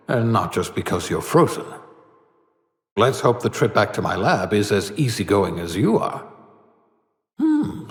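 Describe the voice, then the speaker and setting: Eccentric older scientist, swooping and echoey. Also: male voice, fairly low pitch. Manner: muttering to himself, dryly sardonic, swooping emphasis. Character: eccentric older fugitive scientist, wry, condescending, excitable. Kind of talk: theatrical video game voice acting.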